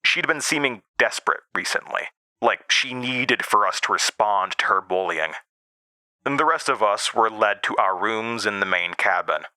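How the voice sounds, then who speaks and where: A man narrating a scripted first-person horror audio drama as a storyteller, with dramatic pauses. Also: fairly low pitch, animated, bright, forceful tone, no echo. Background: music, plucked guitar.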